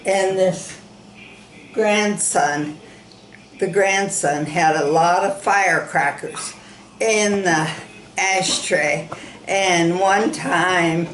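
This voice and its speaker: A woman's voice: lowish voice, extremely slow, pauses often, roomy sound, a little hiss or hum, rough recording. A woman from South Dakota sharing childhood memories in a family interview, plain-spoken and rambling, recorded in a room of a ranch home. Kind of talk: reminiscing in an interview.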